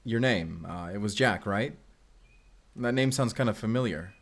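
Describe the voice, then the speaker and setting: An actor playing a wary, blunt young Spanish vampire slayer in an audio roleplay drama. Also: male voice, fairly low pitch, normal speed. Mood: guarded, businesslike. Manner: blunt, guarded. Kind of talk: cinematic audio roleplay acting.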